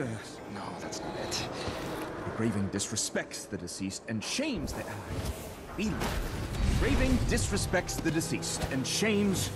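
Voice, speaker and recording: An actor playing a pompous, fussy orator rehearsing in a video game, theatrical and self-correcting. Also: male voice, on the low side. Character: pompous, fussy male orator rehearsing his public speech.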